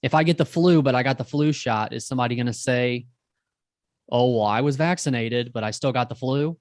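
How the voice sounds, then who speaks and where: A man's voice: medium pitch, fairly quick, a little mellow, dry recording, clean studio sound. A man co-hosting a podcast, asking probing questions with frequent restarts and fillers. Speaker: podcast co-host. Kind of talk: casual chat.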